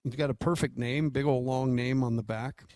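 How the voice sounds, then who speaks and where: A man's voice: medium pitch, steady tone, moderate pace, darkish tone, dry recording, studio quality. An American man co-hosting a sports radio show with casual, informal and joking talk.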